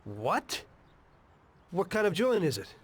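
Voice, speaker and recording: A man's voice: medium pitch, animated, normal speed, lightly acted. A man playing a reluctant young mobster in a video game, loyal but troubled, with an understated, wry, hesitant delivery. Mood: wry, wary. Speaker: mobster protagonist, former taxi driver.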